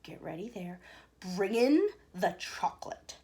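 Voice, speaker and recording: A woman voicing an excitable, eccentric male chocolate maker with a showman's exclamatory manner. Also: mid-range voice, a little slow. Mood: commanding.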